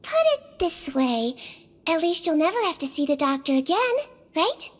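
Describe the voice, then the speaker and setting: A woman voicing a small, gentle, slyly sweet girl nurse from a magical folk in a video game, patient and teasing. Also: sits high, very animated, moderate pace, slight background noise. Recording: music behind the voice.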